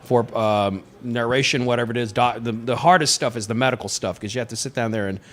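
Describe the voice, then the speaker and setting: A man's voice: medium pitch, fast, fairly bright tone. Man telling casual anecdotes. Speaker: voiceover actor, festival guest.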